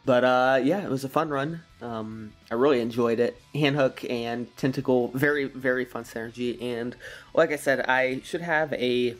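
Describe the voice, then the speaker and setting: A slightly hoarse man giving relaxed, rambling gameplay commentary, full of fillers and soft chuckles. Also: medium pitch, moderate pace, faint music behind. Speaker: gaming commentator.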